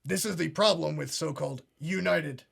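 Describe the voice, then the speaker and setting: An actor playing a pompous conspiracy talk show host, earnest and solemn in a deadpan parody. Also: male voice, fairly high pitch, moderate pace, vocal fry, full character voice. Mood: smug earnest.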